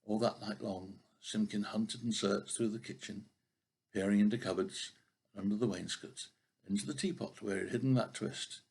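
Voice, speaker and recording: Gravelly older man reading a children's story. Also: on the low side, moderate pace. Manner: expressive with occasional stumbles over words. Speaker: grandfather reading a storybook aloud.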